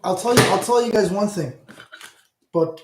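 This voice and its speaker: Middle-aged Canadian host, Southern Ontario accent, rambling livestream chat with a fairly high voice, recorded in a roomy space. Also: male voice, normal speed, background noise. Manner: rambling, talks back to chat, trails off. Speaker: channel host. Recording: roomy echo.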